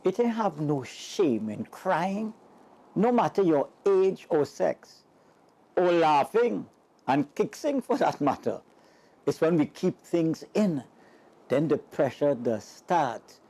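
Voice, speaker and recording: A woman reading parenting tips as a scripted voice-over, measured and advisory. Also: low voice, animated, warm tone, slightly rough.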